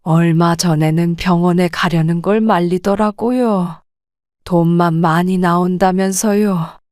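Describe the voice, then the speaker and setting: A woman acting an older widowed grandmother who speaks softly, weary and restrained. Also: fairly low pitch, fairly even intonation, measured pace, no echo, clean studio sound, natural voice. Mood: troubled. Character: widowed grandmother, gentle and troubled. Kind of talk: audio drama acting.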